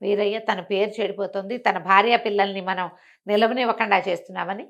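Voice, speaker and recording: Expressive woman telling a story. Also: mid-range voice, fairly quick, lo-fi sound. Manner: expressive, explanatory, acts out characters' dialogue. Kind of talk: conversational bedtime storytelling to an interviewer.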